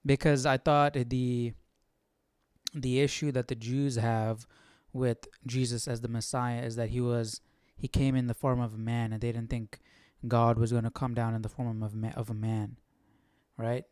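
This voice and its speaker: Guy livestream host musing out loud, smooth mid voice, casual, close dry sound. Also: medium pitch, little pitch movement, moderate pace, dry recording. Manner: questioning, musing. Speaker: host of Bible study livestream. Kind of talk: casual thinking-aloud commentary.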